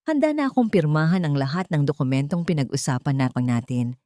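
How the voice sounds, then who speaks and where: A woman storyteller plays a wronged wife with a low voice and Filipino accent. She sounds earnest and restrained in a dry, close audio-story recording. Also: expressive, brisk, mellow, no room sound. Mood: resolute. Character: wronged wife, pained yet quietly resolute woman.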